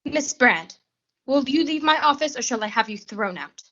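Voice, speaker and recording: Teen girl acting a flustered, halting woman executive. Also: high voice, expressive, fairly bright tone, highs cut off, full character voice. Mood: curt. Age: teenager. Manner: distracted, halting, repeats herself. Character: nervous, flustered woman executive, curt when pushed. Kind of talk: 1940s suspense radio acting. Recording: faint noise and clicks, home recording.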